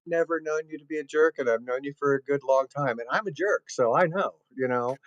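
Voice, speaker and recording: A man co-hosting an interview show, joking and moving things along briskly. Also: medium pitch, dry recording, lo-fi sound. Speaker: co-host.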